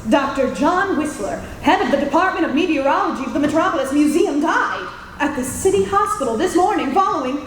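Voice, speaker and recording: A woman playing an inquisitive, sharp-tongued newspaper reporter in an old-time radio drama, insistent and theatrical. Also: high voice, lively intonation, brisk. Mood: intrigued. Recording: echoey hall, roomy, faint noise.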